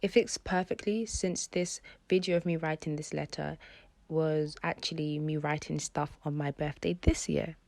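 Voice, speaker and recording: A woman's voice: deep voice, steady tone, normal speed, a little mellow. A woman, talking reflectively as a personal vlogger. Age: early 20s. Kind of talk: personal reflective vlog talk. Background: prominent background music.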